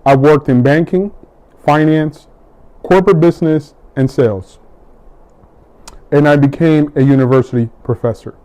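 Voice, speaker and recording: A middle-aged man from the United States giving a measured, deliberate campaign speech, pausing between each item he lists. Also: fairly high pitch, warm tone. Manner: measured, deliberate, with long pauses between list items. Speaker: political hopeful.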